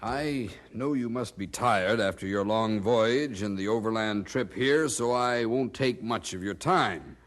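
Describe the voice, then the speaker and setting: Male actor as courteous colonial gazette man, lowish voice, inquisitive yet unassuming, radio drama. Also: a little slow, hardly any pauses, natural voice. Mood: inquiring. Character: polite, inquisitive colonial newspaperman.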